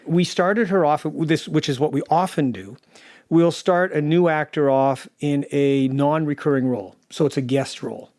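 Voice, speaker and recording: A man's voice: a little high, a little mellow, no room sound, studio quality. A man talking conversationally as an audio drama producer, recounting things and quoting remembered dialogue. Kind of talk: conversational interview anecdote.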